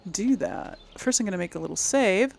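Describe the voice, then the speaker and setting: A woman's voice: mid-range voice, expressive, brisk, gravelly. A woman giving casual let's play commentary, thinking aloud as she goes. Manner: thinking aloud, reading quest text aloud.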